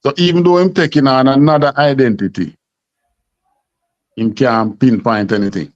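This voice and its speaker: A man's voice: medium pitch, normal speed, no room sound. A man with a Jamaican accent teaching a scripture class, emphatic and full of rhetorical questions. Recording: dull lo-fi sound.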